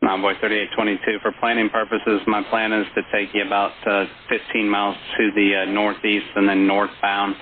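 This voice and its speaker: Low-voiced male air traffic controller on radio. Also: monotone, fairly quick, few pauses. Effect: radio transmission. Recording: muffled band-limited radio recording with chatter behind.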